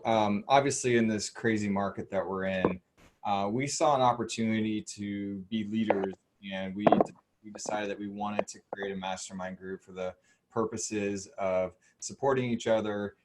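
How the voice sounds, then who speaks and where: Man, low-ish voice, earnest, rambling, restarts a lot, video call webinar. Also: little pitch movement, moderate pace, a little hiss or hum. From Austin, Texas. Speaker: commercial real estate partner. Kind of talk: webinar self-introduction.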